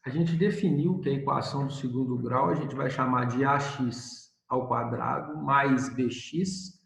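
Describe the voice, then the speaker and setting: A man's voice: mid-range voice, gravelly, a bit bright, echoey room, low-quality recording. A male math teacher giving a recorded video lesson, explaining step by step and asking questions he answers himself.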